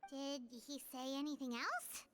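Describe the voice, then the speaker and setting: A woman voicing a tiny, excitable childlike fairy companion in a video game. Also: high-pitched, a little slow, faint music behind. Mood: uneasy, curious. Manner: refers to self in third person, blurting, exclaiming.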